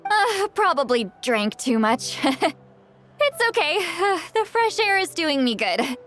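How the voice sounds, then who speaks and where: An earnest young warrior woman with a fairly high voice. She speaks candidly and sincerely, in an anime game style, with some music underneath. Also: sing-song, a little slow, fairly bright tone, exaggerated voice. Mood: tipsy, grateful. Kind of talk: English anime game dub.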